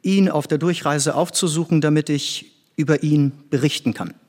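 An actor playing an earnest middle-aged scientist and former pupil in a stage drama, intense and theatrical. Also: male voice, on the high side, normal speed, darkish tone, lightly acted. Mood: formal, reserved.

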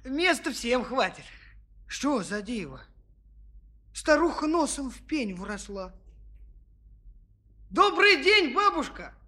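A high, bright young peasant lad from a children's audio play. He is carefree and good-natured, speaking cheerfully and without any hurry. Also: very animated, exaggerated voice. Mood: cheerful, helpful. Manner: easygoing, cheerful, unhurried.

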